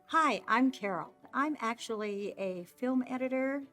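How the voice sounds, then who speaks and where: A woman's voice: fairly high pitch, a little slow, fairly bright tone, faint music behind. A woman from the United States speaking casually in an interview as a show participant. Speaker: reading client, film editor.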